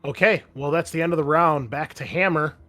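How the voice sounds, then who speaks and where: A man's voice: a little high, fairly quick, fairly bright tone, faint music behind. A man running a tabletop roleplaying game as host, shifting between conversational rulings and dramatic descriptive narration.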